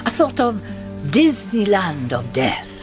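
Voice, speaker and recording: An actress playing a sinister, doting villain's consort in a radio play, with a fawning, unruffled manner. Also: female voice, mid-range voice, very animated, slow pace. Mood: admiring. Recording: music behind the voice.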